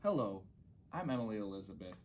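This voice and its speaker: Lowish-voiced man reading a children's storybook. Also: sing-song, fast talker, a little hiss or hum. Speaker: guest children's story reader.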